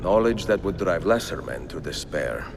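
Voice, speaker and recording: An actor playing a weary, reflective older Italian assassin in a game cutscene, speaking in a measured, solemn way with an Italian accent. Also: male voice, deep voice, sing-song, gravelly, noisy background, full character voice. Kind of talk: dramatic game cutscene dialogue and letter narration.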